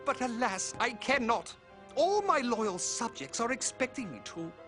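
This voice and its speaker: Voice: medium pitch, sing-song, unhurried, fairly bright tone, faint music behind. An actor playing a vain, pompous fairy-tale prince in a cartoon, whining in flowery, over-dramatic speech. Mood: theatrical lament. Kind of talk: comic cartoon acting.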